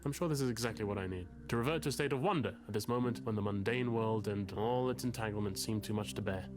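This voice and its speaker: A man's voice: lowish voice, quick pace, music in the background, natural voice. An actor playing a self-pitying male writer in a visual novel, musing in a theatrical, florid and anguished way. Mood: grandiose, relieved.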